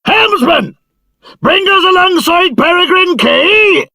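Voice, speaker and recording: An actor playing a commanding ship's captain in a video game, bellowing orders. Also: male voice, lively intonation, slow, vocal fry, no echo, heavily acted. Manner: booming, shouted orders. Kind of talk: video game character acting.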